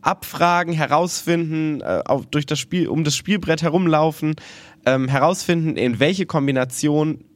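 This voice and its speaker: A man's voice: on the high side, moderate pace, no echo. Joking man hosting a podcast. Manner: joking, playful banter. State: stuffy with a cold. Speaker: podcast host. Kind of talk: casual chat.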